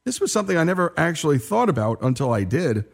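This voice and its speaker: A man hosting a storytelling radio show, speaking in emphatic, deliberate phrases. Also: fairly high pitch, very animated, vocal fry.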